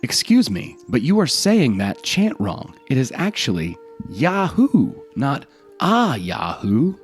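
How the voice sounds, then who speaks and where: A man's voice: medium pitch, huge pitch swings, slow, faint music behind, voice pushed up. A man voicing an earnest, self-satisfied dervish in a folk tale, instructive and smug. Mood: politely correcting. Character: dervish in meditation, earnest, self-satisfied, easily annoyed corrector.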